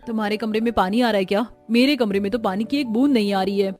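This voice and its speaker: A woman's voice: medium pitch, fast, darkish tone, faint music behind, close to an everyday voice. A woman voicing a fretful, talkative young daughter-in-law in a cartoon family story, exclaiming and questioning. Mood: dismayed. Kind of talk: Hindi cartoon dubbing.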